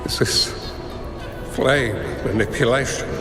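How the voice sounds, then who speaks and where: A man playing a calm, wise, gently stern old hermit master in a video game, speaking in measured, formal, philosophical phrases. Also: mid-range voice, natural voice. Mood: grave, advising. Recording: reverberant hall echo, music behind. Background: music.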